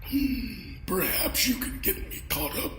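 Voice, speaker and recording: A man's voice: high-pitched, big pitch swings, a little slow, fairly bright tone, reverberant, soft background music, full character voice. A man voicing an ancient, decayed undead lord in an audio drama, grandiose, bitter and theatrical, with a pompous manner. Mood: aggrieved, haughty.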